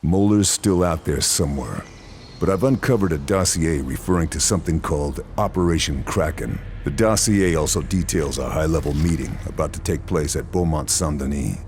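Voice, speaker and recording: A man's voice: rumbling low, moderate pace, darkish tone, slight background noise. An actor playing a tough male sniper who talks in a dry, matter-of-fact way. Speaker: soldier. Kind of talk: game cutscene narration.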